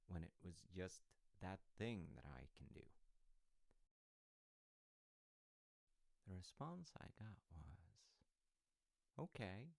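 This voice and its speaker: A man performs an affectionate storytelling dad in an intimate comfort audio roleplay. His deep voice moves slowly and haltingly, musing gently as he reassures his grown child. The sound is close and dry. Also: creaky, dry recording, exaggerated voice. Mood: reflective.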